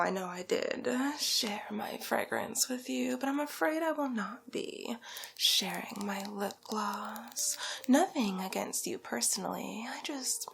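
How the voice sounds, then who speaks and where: A woman playing a haughty, condescending, luxury-obsessed villainess in an ASMR roleplay, with a drawling, theatrical delivery that shifts between breathy whisper and soft creaky speech. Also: on the high side, exaggerated voice. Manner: drawling, theatrical, condescending, calls listener darling.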